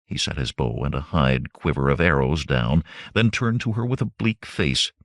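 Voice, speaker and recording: A male audiobook narrator with a low voice reads fantasy fiction from a script. His delivery is animated and varied in pitch, and the sound is dry, with no room on it. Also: normal speed, no room sound.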